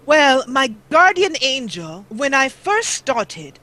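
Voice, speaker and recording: A woman's voice: high voice, sing-song, bright, forceful tone, pitched above the performer's own voice, heavily acted. A woman putting on a lofty, proper game heroine. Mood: haughty. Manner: formal, slow, lofty speech. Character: proper, haughty woman adventurer in a fantasy game.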